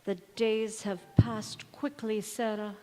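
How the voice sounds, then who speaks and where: A woman playing a calm, soothing confidante in an old-time radio drama, recorded on an echoey church hall stage. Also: mid-range voice, takes it slowly, faint music behind, lightly acted.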